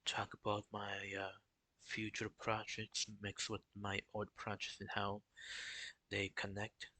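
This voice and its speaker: A young man talking casually on a live stream, in a flat, halting way with many restarts. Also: fairly low pitch, no room sound. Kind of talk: casual solo stream talk.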